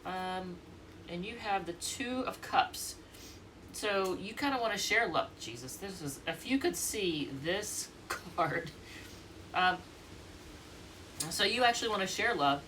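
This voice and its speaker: A woman's voice: mid-range voice, expressive, takes it slowly, long pauses, sharp tone, recorded from a distance, a little hiss or hum. A congested woman with a stuffy nose giving a casual, rambling tarot reading, thinking aloud and restarting often. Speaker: tarot reader.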